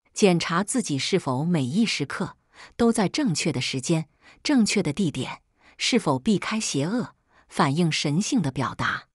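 Female audiobook narrator, slightly low and breathy-rough, reflective scripted reading, very dry sound. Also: no room sound. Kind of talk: scripted first-person book narration.

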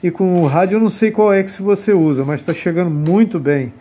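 A man's voice: sits high, brisk, warm tone. A man, chatting casually and courteously as an amateur radio operator. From Brazil. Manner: friendly, courteous, repeating sign-offs. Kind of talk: casual radio contact talk.

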